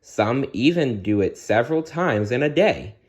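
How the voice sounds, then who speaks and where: A man voicing a kindly, motherly female fairy, reciting riddles deliberately in a bedtime story. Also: mid-range voice, expressive, pitched above the performer's own voice, close to an everyday voice. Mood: reciting, mysterious.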